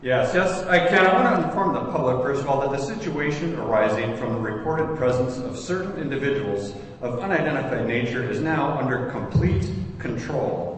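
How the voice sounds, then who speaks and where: An actor playing an army captain in a radio play, giving a formal, reassuring official statement. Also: male voice, medium pitch, animated, normal speed, few pauses, lightly acted. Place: theater stage, live radio play performance. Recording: echoey hall from far off, faint noise.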